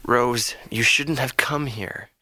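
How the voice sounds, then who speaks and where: A man's voice: mid-range voice, slow pace, close to an everyday voice. An actor playing a protective young male captive in an audio drama, defiant and earnest. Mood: worried, tender. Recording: loud background noise.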